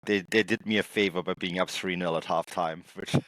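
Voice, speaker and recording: Man, podcast host, very low voice, casual football chat, laughs a lot, dry recording. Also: fairly even intonation, a little fast, a bit bright, dry recording, poor audio. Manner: laughs often, chatty with filler words.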